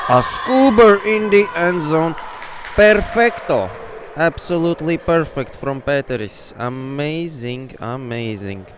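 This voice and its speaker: A man with a Latvian accent whose first language is Latvian, giving excitable, openly partisan live play-by-play commentary. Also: medium pitch, slight background noise. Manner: excitable, openly partisan, bursts into shouts on big plays. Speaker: sports commentator. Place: indoor sports hall.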